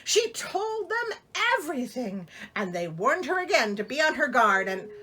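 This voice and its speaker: A woman's voice: high-pitched, big pitch swings, moderate pace, sharp tone, breathy edge. A woman reading a storybook aloud in a theatrical way, breaking in with sarcastic, exasperated comic asides. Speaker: storyteller, host of a reading show.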